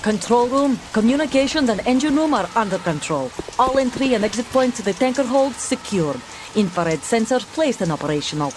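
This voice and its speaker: A woman's voice: fairly high pitch, lively intonation, a little fast, noisy background, lightly acted. A woman playing a tough young mercenary soldier with a Russian accent, proud and defiant, in a cinematic video game. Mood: businesslike. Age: young adult.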